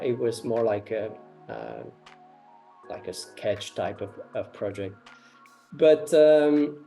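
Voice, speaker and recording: Hesitant Romanian-raised man, mellow voice. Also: medium pitch. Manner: hesitant, many fillers and repeated starts. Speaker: interviewee, artist and ecology researcher. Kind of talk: casual interview answers.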